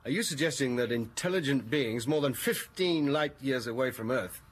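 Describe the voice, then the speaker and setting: A male spaceship captain in a sci-fi radio serial, played by an actor. He is brisk, skeptical and questioning. His pitch sits in the middle for a man. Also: slightly rough, lightly acted. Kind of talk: science-fiction radio drama acting.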